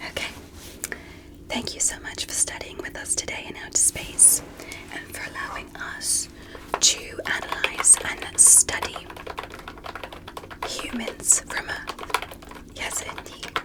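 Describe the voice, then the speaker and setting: A woman playing a polite, gentle alien doctor in an ASMR medical roleplay, speaking in a careful, breathy whisper. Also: lightly acted, rough recording. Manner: slow, careful, softly reassuring.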